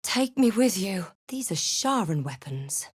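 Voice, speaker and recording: A woman's voice: mid-range voice, big pitch swings, a little slow, breathy edge. A woman playing a wry, watchful young adventurer in a fantasy video game, with clipped, snarky remarks. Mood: resolute. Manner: snarky, clipped, observant asides. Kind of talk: video game character barks.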